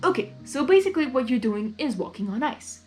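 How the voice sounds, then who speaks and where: A woman acts out a playful male chaos creature from a cartoon fan story, loud and theatrical. The pitch is high and swings widely, with faint music behind. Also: big pitch swings, a little fast. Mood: encouraging. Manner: theatrical, teasing, emphatic.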